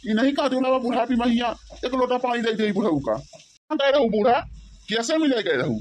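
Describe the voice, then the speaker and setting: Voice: medium pitch, animated, moderate pace, lightly acted. An actor voicing a grumbling, suspicious elderly village father-in-law in a comedy cartoon, rambling with a rustic Awadhi accent. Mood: accusing, complaining. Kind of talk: comic cartoon dialogue in village dialect.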